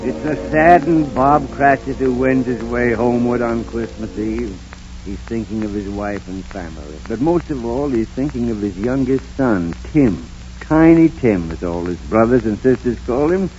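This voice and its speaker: A man's voice: medium pitch, expressive, moderate pace, darkish tone, natural voice. A man acting as a dramatic, earnest storyteller, narrating in 1930s radio style. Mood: grave, suspenseful. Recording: muffled 1930s radio recording with music.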